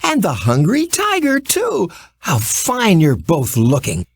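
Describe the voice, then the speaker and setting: A man voicing a cheerful, affectionate little farm girl in a storybook, gushing with excitement. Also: sits high, slow pace, husky, no room sound, pitched above the performer's own voice, heavily acted. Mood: delighted. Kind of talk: storybook character voice.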